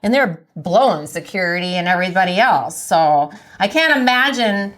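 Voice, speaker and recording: A woman's voice: on the low side, animated, normal speed, a bit bright. A woman interviewing casually as a podcast host, blunt and pressing, with loose profane language. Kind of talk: casual chat.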